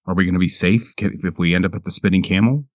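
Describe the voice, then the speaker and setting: Very deep-voiced man, halting roleplay. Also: steady tone, no echo, clean studio sound. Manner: halting, hesitant, searching for words. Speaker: player in a tabletop roleplaying game. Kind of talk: improvised in-character roleplay. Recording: music playing behind the voice. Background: music.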